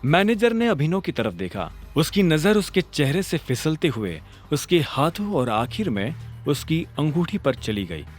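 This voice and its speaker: Man, audio drama narrator, dramatic scripted storytelling, lively intonation, faint music behind. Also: fairly high pitch, unhurried, hardly any pauses.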